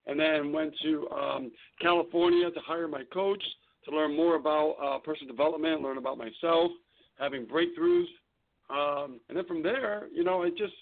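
A man with a gravelly, slightly high voice talks eagerly about marketing, as if coaching a crowd, throwing in rhetorical questions. He comes through a thin, tinny phone line. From New York City, raised in New England. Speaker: guest, internet marketing trainer. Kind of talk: casual radio interview.

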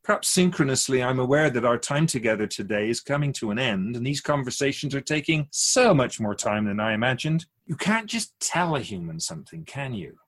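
Man playing a measured, wryly chiding otherworldly keeper. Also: medium pitch, sing-song, a bit bright. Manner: measured, didactic, wryly teasing. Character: wise, gently chiding otherworldly keeper of souls. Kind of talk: conversational radio drama acting.

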